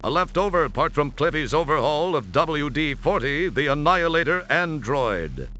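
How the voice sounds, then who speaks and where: A man's voice: on the high side, big pitch swings, normal speed, bright, forceful tone, muffled recording, a little hiss or hum. A man playing a wry, mock-dramatic narrator in scripted adventure game narration. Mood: wry, descriptive. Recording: clear game music behind voice.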